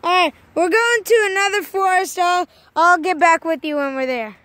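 A woman's voice: very high-pitched, normal speed. A young girl narrating a casual vlog while walking through rainy woods, filming on her phone. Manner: excited, chatty. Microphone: handheld phone.

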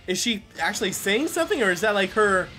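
A man's voice: high-pitched, big pitch swings, fast, pushed and bright, a little hiss or hum. A man giving casual let's play commentary as a gaming video host, rambling and thinking aloud.

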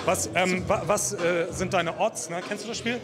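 Teasing man joking over loud music. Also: high-pitched, fairly even intonation, moderate pace. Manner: teasing, playful, hype-man banter. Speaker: vlog host with handheld mic. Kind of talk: casual joking gym commentary. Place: large indoor gym with crowd. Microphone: handheld microphone.

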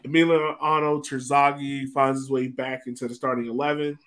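Guy hosting a sports podcast on video call, regular mid pitch, casual chat. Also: flat delivery, normal speed, fairly bright tone. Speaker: podcast host. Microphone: webcam video call.

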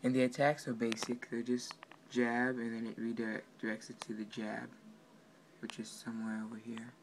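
A man giving a casual, halting, offhand walkthrough of his project, recorded indoors on a handheld camera. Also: mid-range voice, little pitch movement. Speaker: amateur game developer. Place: indoors at a computer. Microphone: handheld camera pointed at monitor. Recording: noisy amateur room recording.

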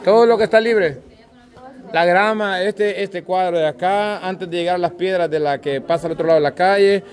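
A man's voice: high-pitched, moderate pace. A Salvadoran man hosting an outdoor group game from behind the camera, casually calling out instructions and explaining the rules. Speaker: host filming and refereeing a group game. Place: outdoors under an open-sided park gazebo. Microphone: handheld camera, speaker behind it. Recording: outdoor group chatter around the voice.

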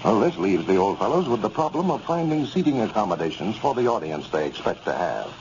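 A man's voice: on the low side, big pitch swings, fairly quick, a little mellow, muffled recording. An American man announcing a 1930s radio show with a polished, friendly scripted delivery. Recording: band-limited 1930s radio recording, faint noise.

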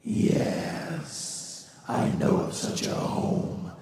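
A man playing a grave, commanding ghost of Christmas future in a radio drama read on stage, speaking slowly and solemnly in a breathy voice. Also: warm tone. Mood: ominous, solemn. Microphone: handheld-type stage mic on stand, close. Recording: echoey theatre stage.

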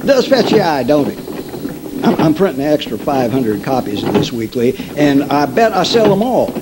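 High, excitable old frontier newspaperman. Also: normal speed. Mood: gleeful. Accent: American. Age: older. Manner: excitable, stammering, folksy. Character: eager, boastful frontier newspaperman, gleefully morbid. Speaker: radio actor. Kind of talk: old-time radio drama re-creation, read from script. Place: convention meeting room, indoors. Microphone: stand microphone on stage. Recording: very noisy amateur live-stage video.

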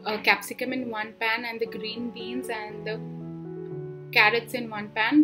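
A young woman with a fairly high voice walks through home meal prep step by step, calm and plain. She talks as a voice-over, and clear background music plays under her. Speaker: cooking vlogger. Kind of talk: instructional cooking voice-over. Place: home kitchen, indoors.

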